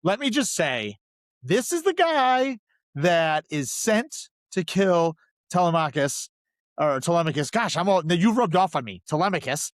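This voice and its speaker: A man's voice: high voice, sing-song, measured pace, no echo, clean studio sound. A man co-hosting a casual film discussion podcast, talking emphatically and repeating phrases for stress. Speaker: podcast co-host.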